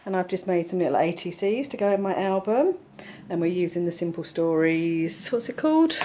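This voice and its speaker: Brief: English woman, fairly low voice, upbeat craft vlogger, faint hiss behind. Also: animated, a little fast, warm tone. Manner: chatty, upbeat, lots of fillers. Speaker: vlogger, craft shop owner. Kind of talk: casual vlog chat. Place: craft studio and shops.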